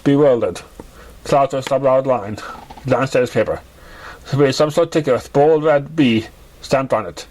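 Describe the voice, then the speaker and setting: A man's voice: medium pitch, moderate pace, darkish tone, poor audio. A man reading a story aloud as an amateur narrator.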